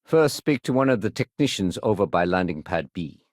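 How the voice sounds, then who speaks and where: A dry, low-voiced security sergeant. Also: male voice, big pitch swings, moderate pace, dry recording. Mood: businesslike. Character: male city security sergeant, dry, no-nonsense, dutiful. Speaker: video game character voice actor. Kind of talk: video game dialogue.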